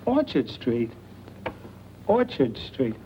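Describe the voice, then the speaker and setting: An actor playing a talkative, inquisitive small-town boarder in a 1940s film. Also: male voice, medium pitch, huge pitch swings, slow pace. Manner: chatty, inquisitive. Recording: muffled old film soundtrack, faint hiss.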